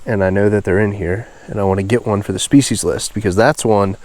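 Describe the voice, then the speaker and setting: Male outdoor vlog host, lowish pitch, nasal and stuffed-up, casual enthusiast delivery. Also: fairly even intonation, fairly quick, a little mellow. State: congested and sniffly. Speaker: host of a fishing video series. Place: outdoors beside a small creek.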